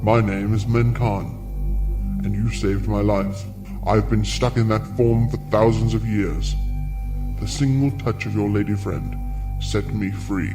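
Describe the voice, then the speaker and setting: A man's voice: fairly low pitch, fairly even intonation, unhurried, over music, close to an everyday voice. A man playing an ancient alien prisoner in an audio drama, speaking formally with courtesy that covers menace. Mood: grateful.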